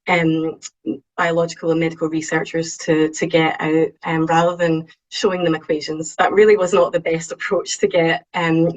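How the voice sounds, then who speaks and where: A woman with a strong Scottish accent giving an online talk, lively and excitable, with frequent ums. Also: on the low side, poor audio. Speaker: invited speaker. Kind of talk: webinar talk on presenting.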